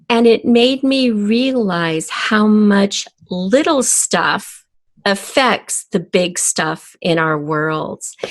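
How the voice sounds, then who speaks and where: A woman speaking as a guest writer in a podcast interview, giving emphatic, encouraging advice in a conversational way. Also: medium pitch.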